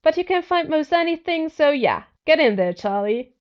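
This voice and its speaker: A woman voicing a pragmatic, authoritative woman team leader in a story reading, with a brisk, commanding manner. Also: high voice, very animated, dull audio, voice pushed up.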